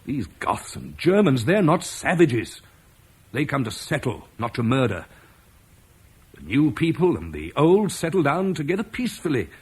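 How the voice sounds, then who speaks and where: An actor playing Marcus, a duty-bound Roman officer, in an old radio play, earnest and measured. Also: male voice, medium pitch, very animated, slightly rough. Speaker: Roman commander leaving Britain. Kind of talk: 1950s BBC radio drama acting.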